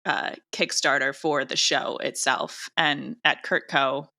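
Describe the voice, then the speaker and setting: A woman's voice: rumbling low, slow pace, gravelly. A woman answering interview questions as an audio drama creator, talking in an enthusiastic, gushing and emphatic way. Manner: gushing, emphatic, animated wording.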